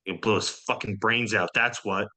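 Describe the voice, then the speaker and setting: A man's voice: on the low side, fairly even intonation, normal speed, sharp tone. A man playing a bitter, foul-mouthed secret agent with sarcastic aggression in a comedy table read. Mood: disgusted anger. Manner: sarcastic, profane.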